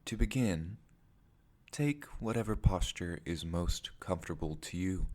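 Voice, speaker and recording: A man guiding a meditation as its teacher, speaking in measured, deliberate phrases with pauses between them. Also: low-pitched, expressive, a little mellow. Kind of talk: guided meditation narration.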